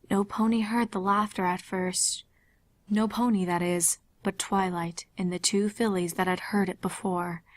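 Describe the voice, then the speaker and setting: A woman reading fan fiction aloud as a dramatic scripted narration. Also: medium pitch. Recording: music behind the voice. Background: background music.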